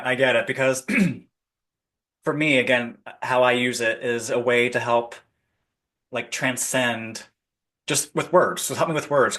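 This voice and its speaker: A man's voice: mid-range voice, a bit bright. A man hosting a casual spiritual interview podcast, enthusiastic, laughing often and repeating his affirmations. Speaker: podcast host.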